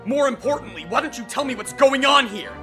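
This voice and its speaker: A performer in an anime fan dub playing a sardonic teenage high-school boy, dramatic and disbelieving. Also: animated, brisk, music in the background. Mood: agitated, demanding.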